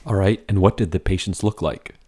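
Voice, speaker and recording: A man's voice: low voice, fairly quick, warm tone, husky. A man hosting a medical podcast, asking questions and joking wryly. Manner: wry, joking, asks questions. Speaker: podcast host.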